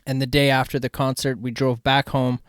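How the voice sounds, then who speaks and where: A Canadian man hosting a podcast, telling rambling, slangy stories in an excitable, casual way. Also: medium pitch, fairly quick, clean tone, no echo, clean studio sound. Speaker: podcast host. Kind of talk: casual chat, storytelling.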